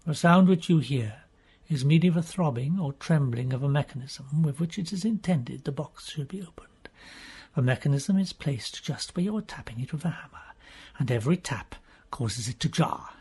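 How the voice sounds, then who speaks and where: Man playing a husky, sceptical gentleman investigator. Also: a little high, animated, moderate pace, pitched below the performer's own voice, exaggerated voice. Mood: dry, knowing. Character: cool, sceptical, know-all gentleman investigator. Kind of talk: audiobook character voice.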